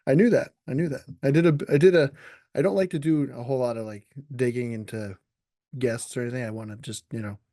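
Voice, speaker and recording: A man's voice: mid-range voice, fairly even intonation, quick pace, warm tone, no echo, studio quality. A man hosting a podcast in an easygoing chat, with many ums and frequent laughs. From New Brunswick, Canada. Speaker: podcast host. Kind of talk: casual interview chat.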